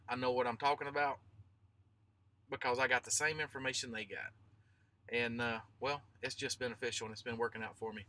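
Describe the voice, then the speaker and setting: A man's voice: mid-range voice, bright, forceful tone, no room sound. A man in his thirties talking informally to vlog viewers, explaining emphatically and repeating himself to drive points home. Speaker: trucker vlogger.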